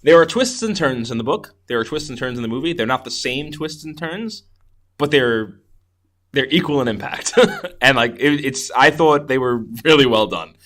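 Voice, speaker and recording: A man's voice: mid-range voice, animated, normal speed, a bit bright. A man co-hosting a podcast, chatting casually and enthusiastically about movies, often restarting his sentences as he rambles. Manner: enthusiastic, rambling with repeated false starts. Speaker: podcast co-host. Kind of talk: casual movie review chat.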